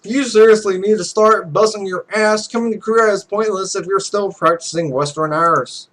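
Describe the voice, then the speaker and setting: A woman's voice: medium pitch, moderate pace, a bit bright, lightly acted. A man voicing a blunt, demanding young female pro gamer with a curt, commanding manner in a visual novel. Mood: stern.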